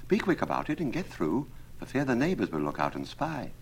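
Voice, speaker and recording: A man voicing a scornful, sharp-tongued young wife who mocks her suitor. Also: medium pitch, huge pitch swings, a little fast, vocal fry, slight background noise, voice pushed up. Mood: brisk. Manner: curt, mocking.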